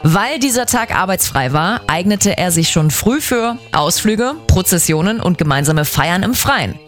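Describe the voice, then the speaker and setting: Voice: mid-range voice, expressive, a little slow, soft background music. A speaker giving a scripted, didactic explanation in orderly numbered points.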